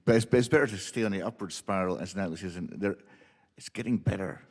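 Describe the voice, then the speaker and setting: Man with a low voice, keen storyteller, panel talk, long hall reverb. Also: fast talker. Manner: enthusiastic storyteller. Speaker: panelist, performer and teacher. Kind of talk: panel discussion answers. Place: symposium hall.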